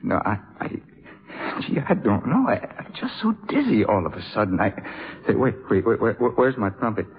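A man playing a bitter, hard-drinking, disillusioned jazz trumpeter in a 1950s radio drama, talking in slangy, halting phrases. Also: fairly low pitch, wildly swooping pitch. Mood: dazed. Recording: tinny 1950s radio recording.

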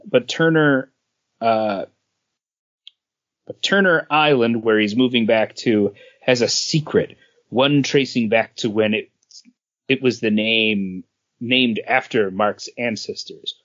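A man co-hosting a podcast in casual comic book chat, halting as he searches for words, on a dull recording. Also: medium pitch. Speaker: podcast co-host.

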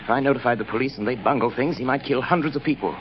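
Man acting resolute hero, grave, brisk, medium pitch, 1940s radio drama, dull vintage recording. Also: a little hiss or hum, natural voice. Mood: grave, determined. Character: grave, resolute male amateur crime fighter.

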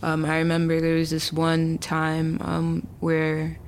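A woman's voice: low voice, completely flat delivery, slow pace, a little hiss or hum. A woman in her early twenties, a chatty interview guest who talks casually with many fillers and restarts. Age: early 20s. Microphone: remote call.

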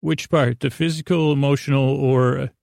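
A man with a gravelly, mid-pitched voice rambles through an improvised sleep podcast monologue. He halts, stammers and doubles back on himself, often voicing both sides of a self-deprecating inner argument. The sound is dry, with no room echo. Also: steady tone, a little mellow. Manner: meandering, halting, self-interrupting and stammering. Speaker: podcast host.

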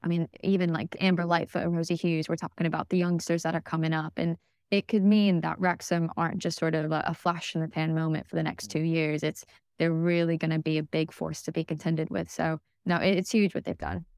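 A woman's voice: low voice, little pitch movement, brisk, darkish tone, no room sound, clean studio sound. A young American woman, a podcast guest, talking enthusiastically in a casual interview, with lots of fillers and restarts. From United States.

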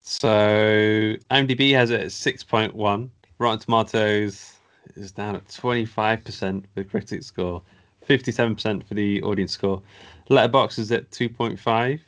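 Lower-voiced man, wry. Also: steady tone, moderate pace, a bit bright. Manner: wry, laughing at what he reads. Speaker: podcast co-host. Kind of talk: casual podcast chat, reading reviews.

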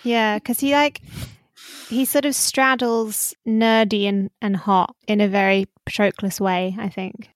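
A woman's voice: mid-range voice, steady tone, unhurried, no room sound. A woman chatting casually as a co-host, opinionated and teasing. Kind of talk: casual panel chat.

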